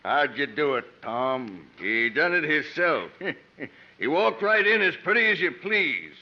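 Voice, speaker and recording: An actor playing a menacing, rough tavern villain with a slow, gloating drawl in a 1950s radio drama. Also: male voice, on the high side, sing-song. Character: menacing rough tavern-keeping brute and his murderous brother. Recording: muffled 1950s radio broadcast.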